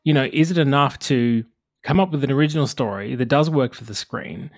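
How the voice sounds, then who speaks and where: A man's voice: medium pitch, brisk, dry recording, studio quality. A man presenting as host, moving between scripted narration and interview questions.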